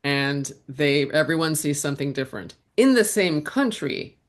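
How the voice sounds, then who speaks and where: A woman's voice: low-pitched, lively intonation, moderate pace. A woman answering interview questions as a guest, in a reflective way with frequent restarts. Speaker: guest, actor and writer.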